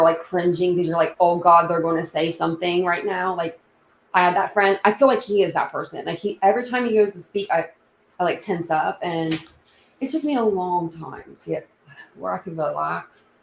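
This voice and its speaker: A woman's voice: medium pitch, roomy sound, a little hiss or hum, poor audio. A young woman hosting a casual true crime livestream, rambling and chatty with many restarts. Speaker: YouTube true crime channel host.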